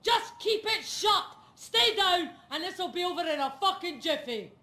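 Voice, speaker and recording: A brash northern English bank robber in a game. His voice is sharp, pushy and pitched very high, with room echo around it. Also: big pitch swings, normal speed, heavily acted. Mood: aggressive. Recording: roomy echo.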